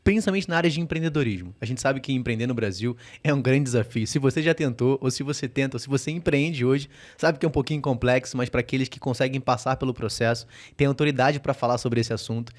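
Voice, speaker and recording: Fast-talking podcast host man. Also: mid-range voice. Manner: friendly, addresses viewers directly, casual slang. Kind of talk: podcast hosting.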